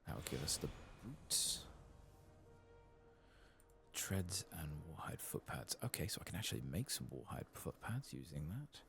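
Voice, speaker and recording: A man giving soft-spoken, sometimes whispered ASMR commentary on a game, murmuring to himself and reading text aloud. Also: rumbling low, very animated, unhurried, gravelly, faint music behind. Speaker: ASMR gaming channel host.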